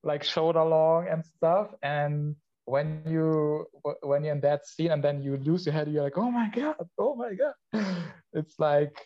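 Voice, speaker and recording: Man, slightly high voice, halting restarts, muffled lo-fi sound. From Poland. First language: Polish. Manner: halting, with many restarts and laughs. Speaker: podcast guest. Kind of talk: casual chat.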